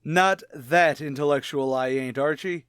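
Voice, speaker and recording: An urbane man of letters put on by a male actor speaks in a low, measured voice. He is polite and bookish, in the style of 1940s radio comedy, recorded close and dry. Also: a bit bright, clean tone, no echo. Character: urbane intellectual male guest lecturer with lowbrow tastes.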